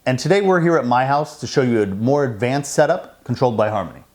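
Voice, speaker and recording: A middle-aged man with an American accent presents a product demonstration. His voice sits at an average pitch for a man. The delivery is semi-scripted narration, recorded indoors in a home. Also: lively intonation, fast talker, darkish tone. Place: indoors in a house.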